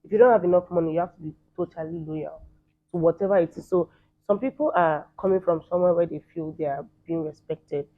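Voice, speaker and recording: A Nigerian man in the entertainment field giving quick, emphatic opinions in a casual interview. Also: fairly high pitch, steady tone. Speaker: guest, entertainer. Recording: thin phone-like sound.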